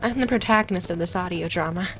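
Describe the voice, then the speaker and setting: A woman's voice: fairly low pitch, fast talker, fairly bright tone, soft background music, natural voice, poor audio. A woman playing a polite, earnest teenage girl, the protagonist of an audio drama. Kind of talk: audio drama acting and narration.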